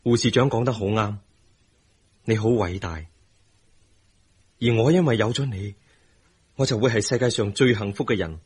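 An actor with a Hong Kong Cantonese accent playing a tender, remorseful young husband in a radio drama, earnest and emphatic. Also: male voice, on the low side, normal speed, long pauses, breathy edge. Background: music.

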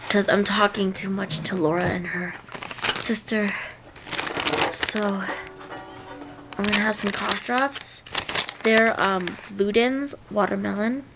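A woman's voice: medium pitch, steady tone, slow pace, vocal fry, long pauses, loud music behind the voice. A young adult woman chatting casually for her vlog as she loses her voice, talking into a handheld camera in a bedroom. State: losing her voice. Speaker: vlogger. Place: small bedroom, indoors. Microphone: handheld camera at arm's length. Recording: noisy handheld camera audio.

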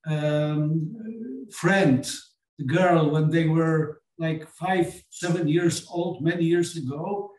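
A middle-aged Polish man speaking English with a Polish accent, talking earnestly on a film panel and often pausing to search for words. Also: fairly high pitch, a little mellow. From Poland. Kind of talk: panel conversation. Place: small meeting room with sofas. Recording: echoey room, voice far from mic.

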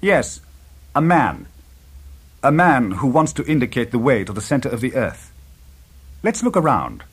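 A man voicing an excitable, commanding older professor uncle in an adventure storybook, with emphatic, exclamatory delivery. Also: mid-range voice, normal speed, long pauses, husky. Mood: intrigued.

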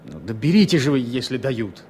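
A fussy superstitious ex pilot, halting but insistent, old soviet radio drama, little bit of noise. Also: male voice, mid-range voice, very animated, darkish tone. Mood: insistent, wistful. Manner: hesitant, insistent. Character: fussy, superstitious former pilot, male passenger. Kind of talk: 1970s Soviet radio play acting. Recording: faintly noisy vintage radio recording.

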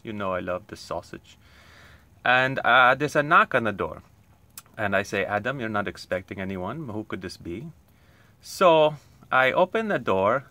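A man telling a comic story in an earnest, rambling way, pausing often. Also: on the low side, bright, forceful tone, no echo. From Poland. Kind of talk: comic monologue.

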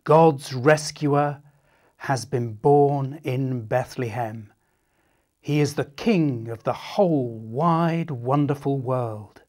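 A man voicing a solemn, reassuring storybook angel, proclaiming deliberately. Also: mid-range voice, darkish tone. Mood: solemn, joyful. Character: solemn, reassuring angel announcing great news.

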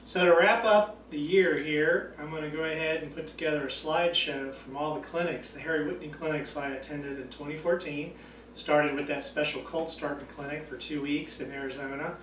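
A middle-aged man speaks in an easygoing, unhurried way, with plenty of fillers. His voice is a little high for a man and sounds roomy. He is recorded from a distance by a camera, with faint noise underneath. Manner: easygoing, relaxed with many fillers. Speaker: author and vlogger. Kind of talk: casual holiday greeting. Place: indoors by a stone hearth at home.

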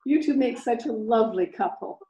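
A woman's voice: a little high, a little fast, dark tone, dull audio, lightly acted. A woman acting in a radio drama as a gracious, curious Edwardian hostess with a polite, cooing manner. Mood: charmed. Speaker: radio play actor. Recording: echoey room over video call.

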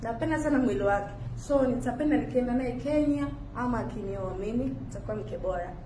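A woman's voice: on the high side, fast talker. A young woman with a Tanzanian accent introducing herself to camera as a show contestant. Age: young adult. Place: by a wooden door. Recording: echoey, noisy amateur clip.

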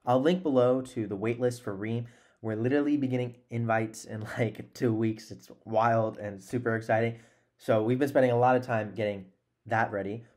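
A man's voice: medium pitch, steady tone, moderate pace, darkish tone. A man in his twenties hosting a podcast in a conversational, earnest and encouraging way, close on a desk microphone. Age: 20s. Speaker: podcast host. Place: small room at home, indoors.

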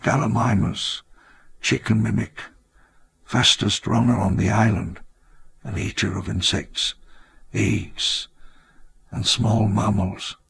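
Aging park visionary, game voice-over: very slow, gravelly, solemn and reflective. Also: male voice, on the low side, little pitch movement, a bit bright, heavily acted. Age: older. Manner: measured, reflective, grandly solemn. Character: elderly grandiose dinosaur-park founder, wistful. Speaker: narrator of his own memoir. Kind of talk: scripted memoir narration for a game.

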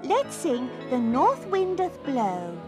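Woman doing a squeaky prim hamster. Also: wildly swooping pitch, slow pace, loud music behind the voice, full character voice. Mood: prissy. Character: prim, vain female hamster puppet.

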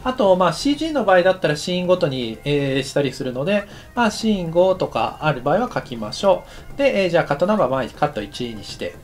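A middle-aged man, an anime director, explaining a technical topic in a relaxed, chatty lecture full of fillers. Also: high voice. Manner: relaxed and chatty, many fillers, lively rising and falling delivery. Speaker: anime director teaching a course. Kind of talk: explanatory screen-share lecture.

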